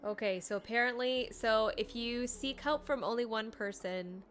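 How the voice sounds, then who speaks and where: A woman's voice: a little high, moderate pace, slight background noise. A woman chatting casually and informally as she streams a game. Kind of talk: casual livestream chat. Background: game music.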